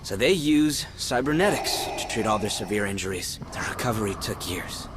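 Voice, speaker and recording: A man's voice: on the low side, lively intonation, normal speed, background noise, natural voice. A man voicing an earnest, nervous young Jedi apprentice in a video game, with a hesitant, stammering delivery. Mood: earnest, uncertain. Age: young adult.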